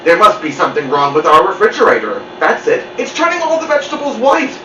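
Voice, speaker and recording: A man's voice: high voice, huge pitch swings, fast talker, sharp tone, pitched above the performer's own voice, full character voice. A man voicing a bumbling, earnest father in a children's storybook, hesitant and flustered. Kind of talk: children's storybook read-aloud. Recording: muffled, roomy, distant mic with noise.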